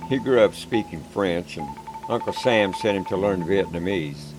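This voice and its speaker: A man reading a first-person war story in a calm, measured, plain-spoken way. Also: low-pitched, rough recording. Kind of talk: scripted first-person narration. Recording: background music.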